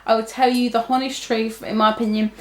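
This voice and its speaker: A young woman chats straight to her viewers in a fairly high voice. She rambles, stops and restarts, with lots of fillers along the way. The sound bounces around an echoey room. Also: flat delivery, brisk. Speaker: vlogger. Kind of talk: casual talk to camera.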